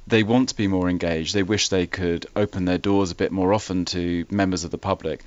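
Deep male interviewer, dry and muffled. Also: steady tone, brisk, darkish tone, no echo. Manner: long, framed questions. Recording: dry, dull lo-fi sound.

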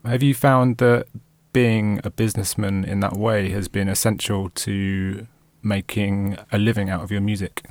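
A male radio interviewer with a fairly low voice. He builds his questions slowly, pausing with fillers as he searches for words. The recording is dry and close. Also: level tone, no echo.

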